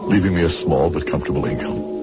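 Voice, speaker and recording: Brooding low-voiced tormented man. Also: fast. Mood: wistful. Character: bitter, drunken, remorseful man haunted by his past. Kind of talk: old-time radio drama acting. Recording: dull lo-fi radio recording with music.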